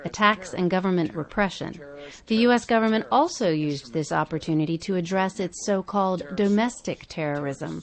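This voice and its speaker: A woman hosting a podcast, reading scripted documentary narration. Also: mid-range voice. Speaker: podcast host. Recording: music bed behind the voice. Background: music.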